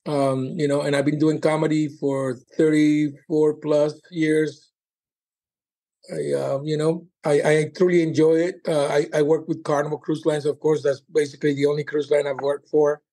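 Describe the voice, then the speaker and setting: A man in his sixties chats casually as a podcast guest. His voice is a little high, and he talks in a halting, informal way with a joking streak. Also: little pitch movement, mellow. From Colombia and Miami. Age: 60s. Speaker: podcast guest, stand-up comedian. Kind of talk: casual chat.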